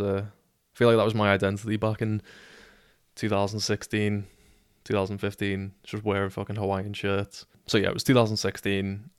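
A young man from Liverpool with a Scouse accent, hosting a casual solo podcast and telling a story in a monotone, sweary way, often tripping over his words. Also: lowish voice, clean tone, no echo, studio quality. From Liverpool, England. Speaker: podcast host.

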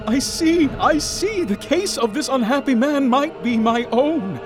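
A man's voice: very high voice, animated, a little slow, over music. A man playing a trembling, pleading writer. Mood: anguished. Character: frightened male writer pleading with a ghost. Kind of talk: radio drama acting.

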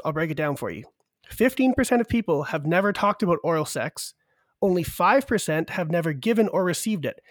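A man's voice: high-pitched, no echo. A man co-hosting a podcast with a cold, chatting casually and walking through survey numbers in an upbeat way. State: has a cold. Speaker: podcast co-host. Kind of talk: casual chat.

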